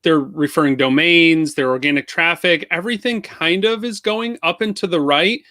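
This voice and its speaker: A man's voice: a little high, normal speed, pushed and bright, dry recording, studio quality. A man speaking as a guest marketing expert, analysing casually and thinking aloud in a conversational way.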